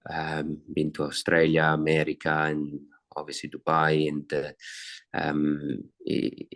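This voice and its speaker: A man's voice: a bass voice, completely flat delivery, a little slow, a bit bright. A middle-aged man from Italy with an Italian accent, a racehorse trainer answering interview questions with many fillers and restarts.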